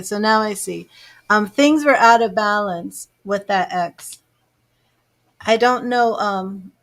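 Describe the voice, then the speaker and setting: A woman giving live tarot readings, chatting to viewers by name and musing over the cards. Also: mid-range voice. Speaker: tarot reader.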